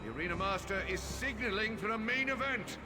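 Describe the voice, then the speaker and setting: An actor voicing a grand, rousing arena announcer in a video game, proclaiming in a booming manner. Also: male voice, animated, brisk. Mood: triumphant, rousing. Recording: long hall reverb, music behind.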